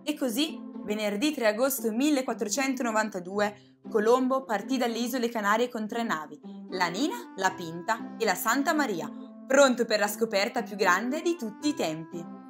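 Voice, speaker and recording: A woman with a fairly high voice narrates a story for children. She tells it expressively and with drama, as scripted storytelling, with clear music playing behind her. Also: expressive, normal speed.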